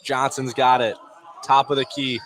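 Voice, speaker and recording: A man doing live play-by-play radio commentary of a high school basketball game in short, clipped phrases. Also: level tone, normal speed, noisy background. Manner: clipped phrases calling action as it unfolds. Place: indoor basketball gym, courtside.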